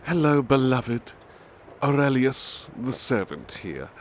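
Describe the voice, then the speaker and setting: A man voicing a devoted, big-hearted butler who softly reads poetry aloud in an ASMR roleplay. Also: medium pitch, big pitch swings, slight background noise. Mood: tender, calm. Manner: soft, unhurried, tender recitation. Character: gentle devoted male butler servant. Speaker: butler reading poetry to the listener.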